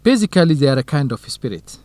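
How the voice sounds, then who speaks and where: A man's voice: fairly high pitch, sing-song, fast, mellow, no echo. A man playing a friendly, curious Ethiopian field researcher in an educational radio drama, talking in a conversational, explanatory way. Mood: explaining.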